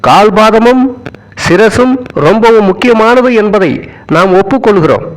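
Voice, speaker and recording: A man with a high, light voice delivers a formal political oration. He speaks in short, deliberate phrases and keeps a stately, measured manner throughout. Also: rough recording. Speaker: speech reader.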